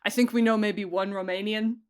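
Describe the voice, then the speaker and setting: Young adult woman, chatty and giggly, casual interview guest, mid-pitched. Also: steady tone, a little fast, clean tone. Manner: chatty, laughs often, lots of fillers and restarts. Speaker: producer and director being interviewed.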